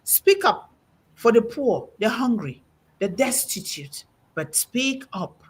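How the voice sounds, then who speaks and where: Husky, animated woman preaching with emphasis. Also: a little high, takes it slowly. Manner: emphatic, quotes scripture with rising emphasis. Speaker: host. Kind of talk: preaching-style talk on a panel.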